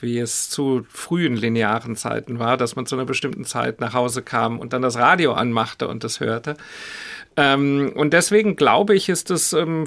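A man gives spontaneous interview answers at a medium pitch with audible vocal fry. He is reflective and wordy, often searching for the next word. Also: a bit bright. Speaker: radio drama director, interview guest.

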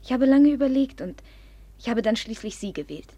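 High-voiced, imperious, breathy young lady. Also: lively intonation, fairly quick, warm tone, no echo. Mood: earnest, confiding. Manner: imperious, volatile, swinging from confiding to accusing. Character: proud, impulsive young woman of good family, jealous and demanding. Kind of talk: classic German radio play acting.

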